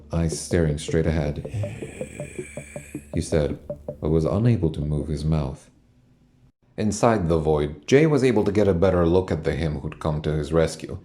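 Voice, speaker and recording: A man reading scripted narration for a tense science-fiction audio drama. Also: low-pitched. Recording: loud music behind the voice. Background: loud dramatic music.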